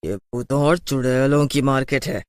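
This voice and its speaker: A man voicing a threatening ghost shopkeeper in a cartoon horror story, speaking slowly and tauntingly. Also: mid-range voice, very noisy, close to an everyday voice. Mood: menacing. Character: menacing ghost shopkeeper of a haunted market.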